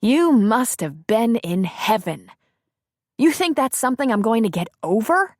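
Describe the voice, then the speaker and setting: A woman's voice: sing-song, moderate pace, dry recording, clean studio sound. A woman voicing a furious, scornful betrayed wife. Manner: scornful, shouting, raised pitch. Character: bitter angry woman confronting cheating ex-husband.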